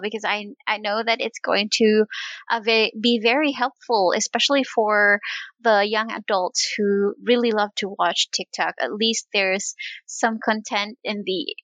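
A woman's voice: mid-range voice, bright, forceful tone, dry recording, low-quality recording. A woman hosting a podcast interview, upbeat and encouraging in a casual chat. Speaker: podcast host.

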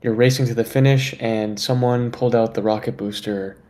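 A man with a mid-range voice co-hosts a casual podcast, chatting in a relaxed way. He leans on fillers and lets his sentences fade softly. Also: mid-range voice, brisk. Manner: relaxed, lots of fillers, trails off quietly. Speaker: podcast co-host.

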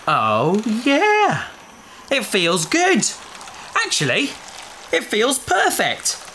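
Actor playing a wry, deadpan companion. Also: mid-range voice, extremely slow, a little hiss or hum, heavily acted. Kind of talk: audio drama acting. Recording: loud music score under voice.

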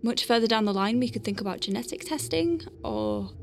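A woman's voice: fairly high pitch, lively intonation, brisk, vocal fry, slight background noise. A woman playing an anxious but wry medic piloting a craft in a science fiction audio drama, thinking aloud in technical terms. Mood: focused, thoughtful.

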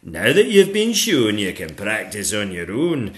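A man's voice: lowish voice, wildly swooping pitch. Guy doing a bossy lady dragon teacher from a picture book, big emphatic drawn out words, very swoopy. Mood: stern, instructive. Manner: emphatic, drawn-out schoolteacher delivery. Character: female dragon teacher, loud, commanding, encouraging.

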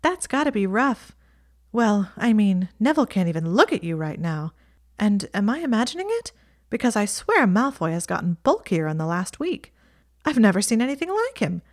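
A woman's voice: mid-range voice, big pitch swings, moderate pace, pitched above the performer's own voice, natural voice. A woman voicing a chatty, teasing young witch girl who speaks in a lofty, blunt way.

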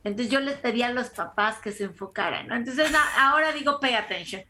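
A Mexican woman co-hosting a podcast, telling lively anecdotes and laughing in casual chat. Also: on the high side, a little fast. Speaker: podcast co-host.

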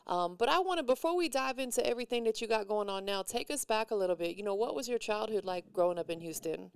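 A woman's voice: mid-range voice, lively intonation, quick pace, creaky, clean tone, no echo, clean studio sound. A woman hosting a casual hip-hop radio interview, slangy and encouraging with her guest. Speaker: radio host interviewing a musician.

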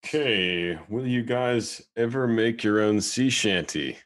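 A man's voice: on the low side, slow. A man in his late twenties reading questions and chatting easily with bandmates, laughing often and restarting his sentences. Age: 20s. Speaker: group member leading a Q&A. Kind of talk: casual group chat.

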